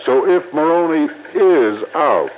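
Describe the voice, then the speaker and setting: Gruff old-time radio cop boss, insistent, very animated, tinny hissy recording. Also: male voice, fairly high pitch, a little slow. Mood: grave, warning. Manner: blustery, insistent, knowing. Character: gruff, suspicious, protective male police lieutenant. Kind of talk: 1950s radio drama acting.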